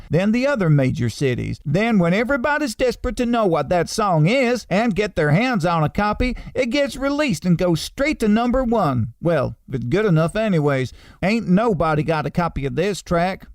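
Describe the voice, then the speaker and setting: A man's voice: high voice, no echo. A man plays a streetwise collector of disco records, full of pride. He explains in boastful slang, radio-comedy fashion. The sound is close and dry. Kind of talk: comedy radio play acting.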